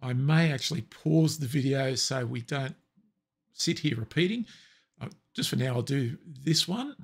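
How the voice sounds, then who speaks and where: A man with a raspy voice explaining software steps in a relaxed, step-by-step tutorial. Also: mid-range voice, unhurried. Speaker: tutorial presenter. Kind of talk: screen-recorded software tutorial.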